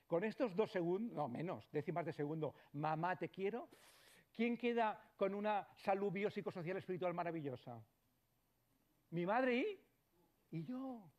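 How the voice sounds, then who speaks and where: A middle-aged man from Barcelona giving a conversational lecture to doctors, often checking in with the audience and letting his voice trail off quietly. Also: on the high side. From Barcelona, Spain. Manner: conversational, frequent tag questions, trails off quietly. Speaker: psychologist giving a talk. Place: lecture hall.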